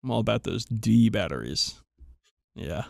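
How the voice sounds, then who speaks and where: A man's voice: lowish voice, lively intonation, measured pace, vocal fry. A man co-hosting a podcast in casual, teasing banter with some swearing. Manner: profane, teasing. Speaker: podcast co-host.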